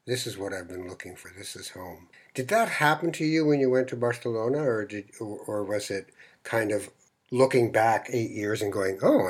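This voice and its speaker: A middle-aged man born in Morocco, hosting a podcast interview in a relaxed, meandering way with frequent fillers. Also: medium pitch, husky. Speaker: podcast host. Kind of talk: conversational interview.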